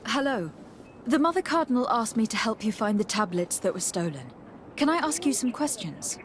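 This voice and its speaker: A courteous young noblewoman diplomat from a fantasy video game. Her voice is fairly high, measured and inquisitive, with clear ambience behind it. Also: very animated, slight background noise. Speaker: investigator.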